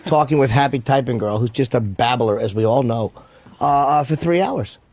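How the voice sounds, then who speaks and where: A man's voice: medium pitch, moderate pace, a little mellow, no room sound. A man co-hosting a radio show in casual, profane, bantering conversation.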